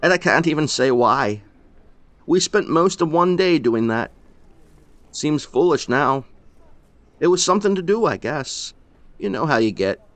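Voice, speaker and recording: A man voicing a grieving older rural man who talks in halting, choked bursts and breaks off mid-sentence. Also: fairly high pitch, expressive, dry recording, pitched above the performer's own voice. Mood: weary.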